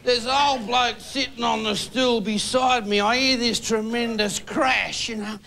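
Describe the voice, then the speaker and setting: Gravelly older man spinning a yarn. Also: very high voice, fairly even intonation, a little slow, sharp tone, slight background noise. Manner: folksy, repeats "you know". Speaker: stand-up comedian. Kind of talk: rambling storytelling stand-up. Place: TV studio stage. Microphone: handheld microphone.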